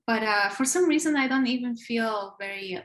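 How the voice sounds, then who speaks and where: A woman's voice: on the high side, normal speed, fairly bright tone, echoey room. A young woman from Ecuador with a light Spanish accent, chatting as an interview guest, laughing often and restarting her sentences. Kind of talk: casual interview chat.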